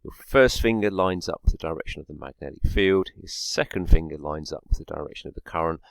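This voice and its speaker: A man's voice: extremely low pitch, quick pace, creaky, dry recording. A man teaching a science lesson, explaining step by step and often checking in with a short tag word. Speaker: physics teacher.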